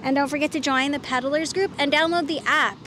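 Casting: female vlog host, high voice, casual to-camera talk, recorded outside with clear traffic noise. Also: steady tone, a little fast. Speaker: company employee and ride host. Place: outdoors beside the bikes.

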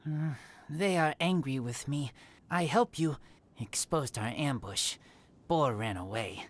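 Feral game boy: low, slow, halting, earnest and guarded. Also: expressive, full character voice. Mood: earnest, plain. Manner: halting, terse broken sentences. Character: guarded, earnest wild boy raised by wolves. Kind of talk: video game character voice acting. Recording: game music behind.

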